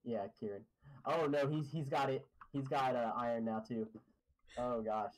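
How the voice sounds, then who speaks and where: A man's voice: medium pitch, moderate pace, low-quality recording. A young man chatting casually and joking while playing a block-building game with a friend over voice chat. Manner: joking, excitable, teasing. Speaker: Minecraft player and channel host. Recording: faint keyboard clicks behind.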